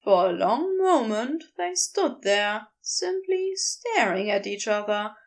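High-pitched, unhurried woman narrating a story. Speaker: podfic narrator.

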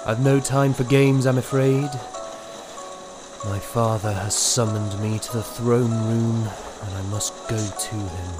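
A man playing a polite, hesitant young vampire prince in a fantasy audio drama, speaking in a courtly, halting, unsure way. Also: lowish voice, steady tone, over music. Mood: reserved.

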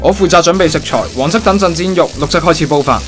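A man's voice: fairly high pitch, fast, lightly acted. A man playing a sardonic, take-charge young gamer, the older brother, with a Hong Kong accent in a comic audio drama. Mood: dry, sardonic. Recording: music behind the voice.

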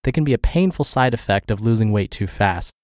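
A man's voice: on the low side, animated, a little fast, darkish tone, no room sound, clean studio sound. Slightly congested male podcast narrator. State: slightly congested and scratchy from recovering from flu. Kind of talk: scripted podcast narration, reading an article aloud.

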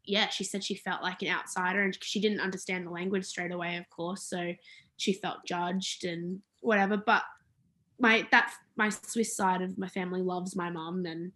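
A woman's voice: fairly low pitch, fairly even intonation, moderate pace, fairly bright tone. A young woman talking casually about her family's history, hesitant with many fillers and restarts. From Australia. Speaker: interviewee.

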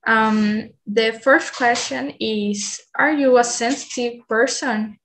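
A woman's voice: on the high side, steady tone, slow pace, fairly bright tone, slight background noise, poor audio. A nineteen-year-old woman from Brazil with a Brazilian accent, hosting an English conversation group over a video call, hesitant with many ums. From Bahia, Brazil. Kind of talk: casual online English practice chat.

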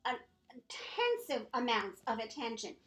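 A woman's voice: on the high side, big pitch swings, measured pace, a bit bright, roomy sound. A woman co-hosting a podcast, talking emphatically while getting over a cold and coughing. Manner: emphatic, motherly advice-giving. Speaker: podcast co-host. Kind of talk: casual chat.

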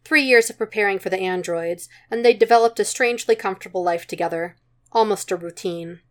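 A woman's voice: medium pitch, brisk. A woman reading a story aloud as scripted narration, in the manner of an amateur audiobook. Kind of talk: scripted narration of fan fiction.

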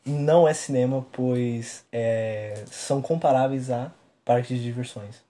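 Male podcast co-host, mellow dark tone, measured and thoughtful. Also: medium pitch. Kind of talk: casual chat.

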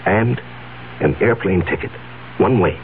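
A man's voice: deep voice, lively intonation, a little slow. An actor playing an anxious, respectable businessman, hesitant and earnest, in a 1970s radio drama. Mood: tense, firm. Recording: narrow, hissy old radio recording.

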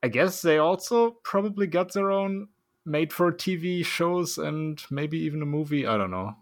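A man hosting a casual podcast, relaxed and laughing often, with loose, filler-heavy phrasing. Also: on the high side, normal speed, no echo. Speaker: podcast host.

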